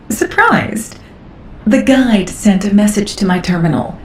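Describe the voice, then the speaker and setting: An analytical scientist in a video game. She talks deliberately and thoughtfully at a medium pitch, with a curious, cautious air. The audio is roomy with a faint noise. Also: very animated. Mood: upbeat, intrigued. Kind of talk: video game dialogue acting.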